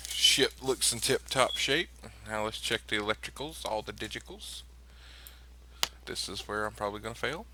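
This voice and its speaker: A man's voice: fairly low pitch, moderate pace. A man playing a tabletop game, chatting casually and joking with the others, recorded with some noise and clicking. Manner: jokey, animated banter.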